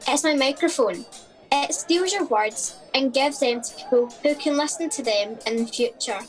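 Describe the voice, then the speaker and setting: Dry, patient interviewer played by a woman, high voice, deadpan questioning, radio comedy. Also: normal speed, soft background music, natural voice, poor audio. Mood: dry, probing. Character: dry, patient time-travelling interviewer with a microphone. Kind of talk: radio comedy play acting.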